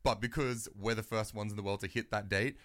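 A man's voice: lowish voice, steady tone, a little fast, a bit bright. A young man hosting a casual radio show, chatting and rambling with lots of fillers. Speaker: radio host.

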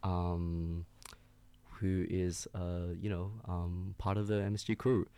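A man's voice: sits low, level tone, slow pace, dark tone, smooth. A man from China co-hosting a casual radio show, hesitant with many ums. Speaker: radio host.

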